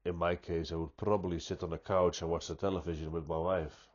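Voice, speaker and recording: A man with a stuffy nose giving an unscripted, reflective monologue, speaking deliberately and often restarting his sentences. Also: low-pitched, little pitch movement, a little mellow, dull audio. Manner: slow, deliberate, thoughtful, with frequent restarts. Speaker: pipe-smoking vlogger.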